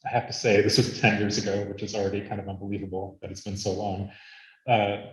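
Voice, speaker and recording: Man from Arizona, Latvian first language, lower voice, measured lecture with fillers, roomy hall sound. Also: darkish tone. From Arizona, United States. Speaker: academic speaker. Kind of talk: conference lecture.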